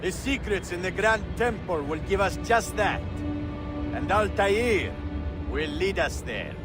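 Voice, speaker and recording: An actor playing a scheming Ottoman prince villain in a video game, measured, lofty and calmly threatening. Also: sits high, over music. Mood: fervent. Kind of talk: dramatic video game cutscene acting.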